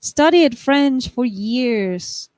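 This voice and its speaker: A young woman from the United States talks slowly and unhurriedly on a live stream, her voice sitting a little high. She reads out viewer comments and chats about them, often laughing and restarting her sentences. The sound is dry and close, with no room echo. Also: lively intonation, darkish tone. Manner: chatty, laughs often, trails off and restarts while reading chat. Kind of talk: casual chat reading viewer comments.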